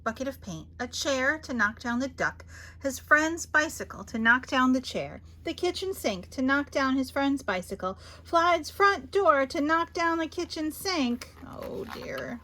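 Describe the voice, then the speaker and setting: Animated, high-pitched woman reading bedtime stories. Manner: theatrical, expressive, with dramatic pauses and emphasis. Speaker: library storyteller reading picture books aloud. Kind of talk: children's bedtime story read-aloud.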